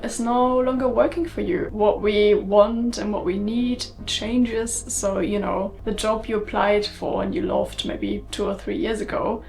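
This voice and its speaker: A woman's voice: medium pitch, creaky. A young woman from Germany with a German accent, talking candidly to the camera in a casual sit-down vlog. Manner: chatty and candid, many fillers like um and like. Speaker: vlogger.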